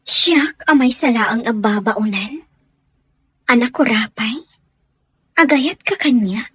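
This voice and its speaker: A high, girlish young servant woman, speaks with an Ilocano accent. She is humble and earnest, with radio drama emotion in a dry, close recording. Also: takes it slowly, bright, forceful tone, breathy edge, no echo, full character voice. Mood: hesitant, pleading. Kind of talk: Filipino radio drama acting.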